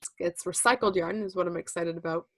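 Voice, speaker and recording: A woman in her thirties co-hosting a casual knitting podcast, chatty and quick to laugh. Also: lowish voice, fairly even intonation, fairly bright tone. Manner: chatty, laughs often, lots of fillers. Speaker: podcast co-host.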